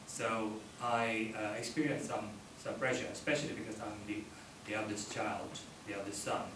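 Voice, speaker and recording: A middle-aged man with an Indonesian accent tells his own story at a panel discussion, pausing often mid-sentence. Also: fairly low pitch, fairly even intonation. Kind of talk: personal account at a panel discussion. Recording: roomy, noisy, distant microphone.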